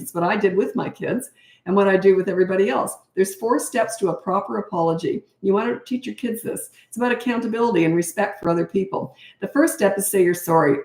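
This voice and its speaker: A middle-aged woman talking straight to her livestream audience, handing out blunt, practical parenting advice with chatty asides. Her voice is slightly hoarse from talking so much, and her mid-range tone bounces around an echoey room. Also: medium pitch, darkish tone. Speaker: parenting coach. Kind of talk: casual livestream Q&A.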